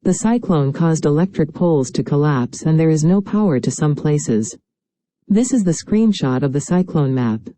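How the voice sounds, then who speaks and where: A woman's voice: deep voice, expressive, moderate pace, mellow, dry recording. A woman reading a scripted news bulletin in a formal manner. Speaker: news announcer.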